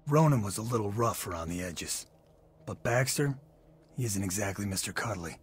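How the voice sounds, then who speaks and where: A man's voice: lowish voice, animated, normal speed, husky, faint music behind. An actor playing a jaded, matter-of-fact policeman in a video game.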